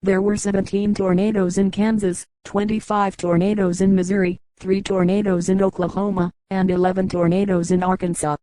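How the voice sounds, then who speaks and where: Female news announcer, mellow and level, delivering scripted breaking reports, very dry recording. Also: medium pitch, flat delivery, moderate pace, hardly any pauses, no echo, poor audio.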